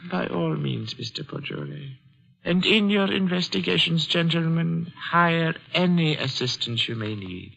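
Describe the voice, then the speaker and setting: A gravelly, formal rich uncle. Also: male voice, on the high side, lively intonation, fairly bright tone, full character voice. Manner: measured, formal, precise speech. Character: wealthy older man, formal, commanding uncle. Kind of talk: 1940s radio acting. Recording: dull lo-fi 1940s radio recording.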